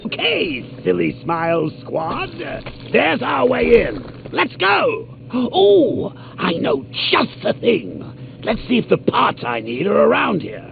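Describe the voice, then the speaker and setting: An actor playing a manic, theatrical clown supervillain in a video game, cackling and taunting with playful wordplay. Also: male voice, high voice, vocal fry, slight background noise, heavily acted. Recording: tinny lo-fi game audio with loud music.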